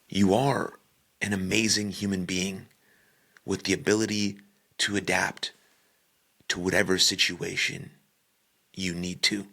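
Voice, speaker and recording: Slow, gravelly man guiding a meditation. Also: sits low. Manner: calm, deliberate, with long pauses between short phrases. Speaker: podcaster leading guided meditation. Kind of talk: guided meditation narration.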